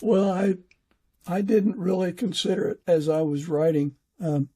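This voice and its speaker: A man with a husky, gravelly voice hosting a conversational interview, speaking hesitantly with many halts. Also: sits high, mellow. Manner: hesitant, halting. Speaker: podcast host and fiction author.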